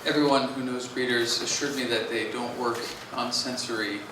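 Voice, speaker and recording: A man on a panel speaks in a level, flat tone, working through his points carefully and steadily. He sounds far from the microphone in a very reverberant hall. There is clear background noise. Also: mid-range voice. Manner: measured and reflective, with steady fillers. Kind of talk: panel discussion. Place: conference hall stage.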